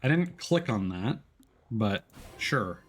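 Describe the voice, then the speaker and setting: A man's voice: on the low side, very animated, takes it slowly, breathy edge. A man streaming a video game, moving between casual commentary and reading story narration aloud.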